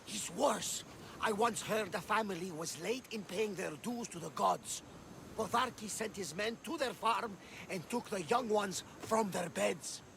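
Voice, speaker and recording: Gravelly, high-pitched genial old sea captain. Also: male voice, measured pace, a bit bright, slight background noise, full character voice. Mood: grim. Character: talkative, genial Greek ship captain and storyteller, older man. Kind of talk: video game cutscene acting, storytelling.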